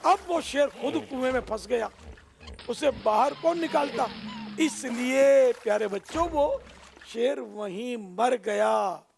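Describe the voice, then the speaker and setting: A man telling a children's moral story in an expressive way, addressing his young listeners directly and drawing out phrase endings for emphasis. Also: extremely high pitch, takes it slowly, vocal fry, slight background noise.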